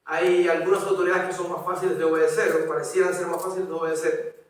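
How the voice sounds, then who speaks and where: A man's voice: a little high, little pitch movement, quick pace. A man with a Cuban accent preaching an expository sermon, often repeating words as he rephrases. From Cuba. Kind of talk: expository sermon with scripture reading. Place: church hall. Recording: echoey room, distant microphone.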